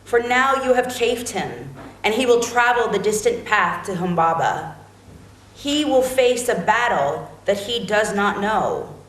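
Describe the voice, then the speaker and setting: A woman's voice: medium pitch, lively intonation, unhurried, close to an everyday voice. A woman playing a solemn mother queen in a dramatic epic reading, with an incantatory, declamatory delivery. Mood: solemn concern. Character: solemn mother queen praying for her son. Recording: roomy, echoing recording.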